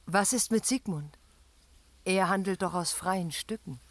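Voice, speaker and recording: An actress playing a god's devoted, defiant daughter in a fantasy radio drama, earnest and pleading in manner. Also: female voice, on the low side, a little slow, no room sound. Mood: concerned. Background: dramatic music.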